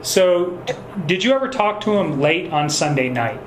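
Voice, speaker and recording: A man speaking as a police investigator in a phone interview, choosing his words in a hesitant, measured and polite way. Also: sits high, very animated. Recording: echoey recorded phone call with faint noise.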